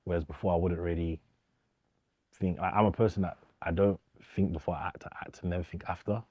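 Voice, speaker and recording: Deep-voiced young man. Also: steady tone, a little fast, dark tone, slightly rough, dry recording, lo-fi sound. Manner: informal, slangy, repeats himself. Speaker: DJ, interview guest. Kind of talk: casual documentary interview.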